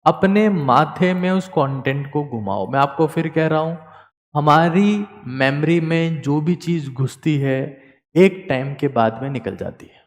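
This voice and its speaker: A man giving a motivational study lecture as a teacher, emphatic and repeating key words, swinging between loud and soft. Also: a little high, lively intonation, measured pace, long reverb tail, soft background music.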